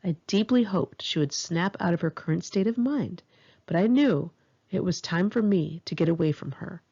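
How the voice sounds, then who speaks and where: American female memoir narrator, lively pitch movement, gravelly edge, confessional delivery, slightly dull home recording. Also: moderate pace. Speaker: author reading own memoir. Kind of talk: audiobook narration of a first-person memoir.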